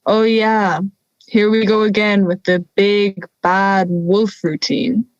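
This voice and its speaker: A woman's voice: medium pitch, steady tone, slow, smooth, no room sound, highs cut off. A young woman playing a touchy, wry, harmless talking wolf in an audio drama, indignant and teasing. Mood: exasperated.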